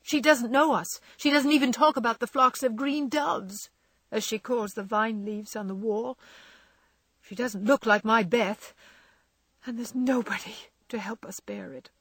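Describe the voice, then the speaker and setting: A woman's voice: fairly low pitch, expressive, a little slow, husky, no echo. A woman voicing a spirited young woman in grief, whose speech rises into emotional cries. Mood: distraught.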